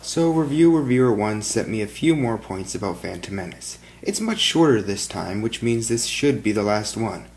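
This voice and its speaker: A young man reading a film review in a flat, monotone manner, recorded on a webcam in a small bedroom. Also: on the low side. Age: young adult. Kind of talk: read-out film review monologue. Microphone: webcam at desk.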